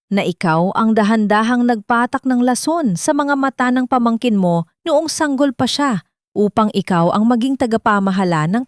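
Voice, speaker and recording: A cold, menacing villain man, as a woman narrator puts him on speaks in a controlled, threatening way at a middling female pitch. The sound is dry, as in an audio drama. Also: no echo, close to an everyday voice. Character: menacing male villain, cold scheming blackmailer.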